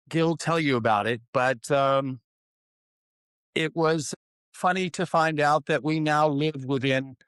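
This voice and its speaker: A man hosting an interview, asking his guest measured, friendly questions in a conversational style. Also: medium pitch, fairly bright tone, smooth, dry recording. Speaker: podcast host. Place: recorded in Florida.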